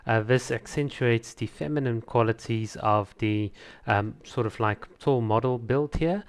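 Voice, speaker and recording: Man, mid-range pitch, casual reaction commentary, conversational, opinionated, enthusiastic video host. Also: unhurried, smooth. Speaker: host of a video channel reviewing game trailers.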